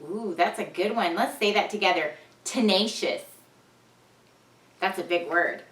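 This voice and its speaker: A woman teacher reading a storybook aloud for a children's vocabulary lesson, expressive and deliberate, repeating key words. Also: mid-range voice. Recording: echoey room, distant microphone.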